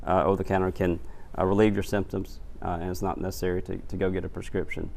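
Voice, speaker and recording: A man speaking as a pharmacist guest, earnest and halting, with frequent ums and uhs. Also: sits low, level tone, creaky, darkish tone. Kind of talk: guest interview answers.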